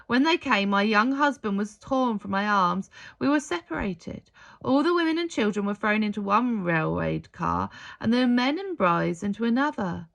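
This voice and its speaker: Storybook role, played by a female reader: elderly woman, sorrowful Holocaust survivor, heartfelt and halting, expressive swings in pitch. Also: gravelly, highs cut off. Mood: sorrowful, remembering.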